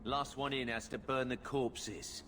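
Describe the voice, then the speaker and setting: A man playing a gruff, wryly humorous working-class mine foreman in a video game, blunt and colloquial. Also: mid-range voice, fairly even intonation, unhurried, noisy background, close to an everyday voice. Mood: wry, rattled. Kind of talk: video game dialogue acting.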